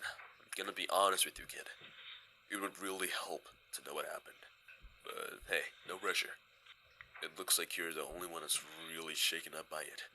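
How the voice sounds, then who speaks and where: A man in a fan audio drama playing a gentle, weary, laid-back male skeleton, speaking in a hesitant, casual, understated way. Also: sits low, a little hiss or hum, rough recording.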